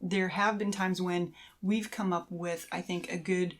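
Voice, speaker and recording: Slightly low-voiced woman chatting on a podcast. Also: fairly even intonation, a little slow. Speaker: podcast co-host. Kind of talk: casual conversational podcast talk.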